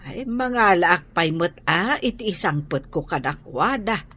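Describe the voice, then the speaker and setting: A woman's voice: on the low side, expressive, measured pace, a bit bright, slightly rough, heavily acted. A woman playing a kindly, doting grandmother in a Filipino radio drama. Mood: fond, chatty.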